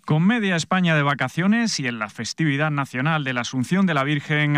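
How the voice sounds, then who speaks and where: A man sports reporter reading a scripted news voice-over in a steady, formal way. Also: mid-range voice, smooth, no echo.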